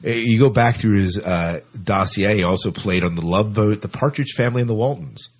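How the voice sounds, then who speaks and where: A man hosting a casual podcast, chatting in a halting way and repeating words as he thinks. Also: fairly low pitch, slightly rough. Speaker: podcast host; teacher. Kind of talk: casual co-hosted podcast chat.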